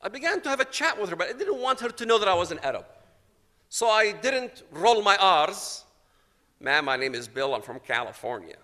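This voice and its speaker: A man's voice: high voice, big pitch swings, normal speed, bright, forceful tone, big-room sound. A man from Palestine giving a personal testimony, telling his story dramatically and acting out the dialogue. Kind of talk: storytelling talk to an audience.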